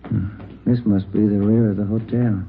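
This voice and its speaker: An American actor playing an easygoing police detective's partner in an old radio drama, with short, casual replies. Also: male voice, fairly low pitch, flat delivery, normal speed, natural voice. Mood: curious. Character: easygoing, plain-spoken male detective's partner. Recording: muffled old radio broadcast, background hiss.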